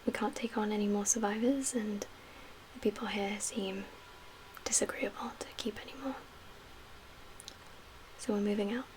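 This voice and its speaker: A woman playing a calm, guarded survivor leader in a soft-spoken ASMR roleplay, half-whispering in a measured, reassuring way with pauses. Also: mid-range voice, close to an everyday voice, rough recording. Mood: calm, instructive. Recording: audible background noise.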